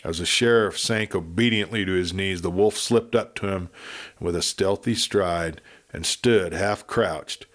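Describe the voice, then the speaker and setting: A man narrating a Western audiobook with expressive, dramatic storytelling. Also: low-pitched.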